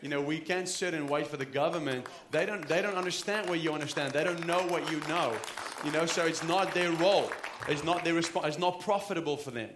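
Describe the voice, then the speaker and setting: A man's voice: on the high side, fairly quick, few pauses, echoey room, a crowd in the background, background noise. A man in his thirties with a South African accent, preaching an earnest, conversational sermon with frequent restarts. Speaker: pastor. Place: church sanctuary.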